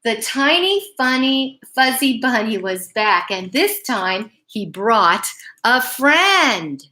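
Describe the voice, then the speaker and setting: Woman, children's bedtime story read-aloud, lively swooping intonation, slow pace, emphatic phrasing, talks to young listeners. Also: on the high side. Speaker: storyteller reading a picture book to children.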